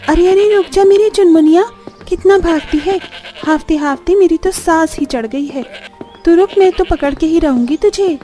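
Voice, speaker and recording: A woman's voice: very high voice, normal speed, mellow, faint music behind, voice pushed up. A woman voicing an affectionate, cheerful young village daughter in a cartoon story, with a childlike, exclaiming manner. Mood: playful, scolding.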